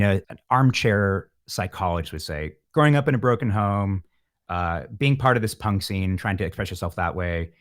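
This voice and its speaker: Low-voiced, reflective middle-aged man. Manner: philosophical, wry, thinking aloud. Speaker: musician, podcast guest. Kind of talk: reflective interview talk.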